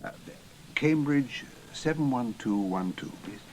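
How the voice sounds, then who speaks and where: An actor playing a worldly, sceptical, calculating English establishment man in a radio drama, measured, dry and authoritative. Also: medium pitch, lively intonation, a little mellow, a little hiss or hum, close to an everyday voice. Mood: discreet, businesslike.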